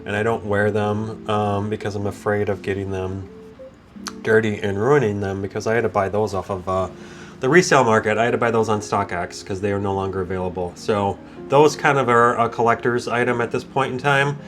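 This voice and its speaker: A man's voice: lowish voice, fairly even intonation, a little fast, fairly bright tone, soft background music. A man with a slightly congested, groggy voice, hosting a casual, easygoing product review that often wanders off on tangents. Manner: easygoing, digressive, self-interrupting. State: groggy from waking up, with sinus congestion. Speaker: product reviewer and video host. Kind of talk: casual, rambling unboxing and review chat.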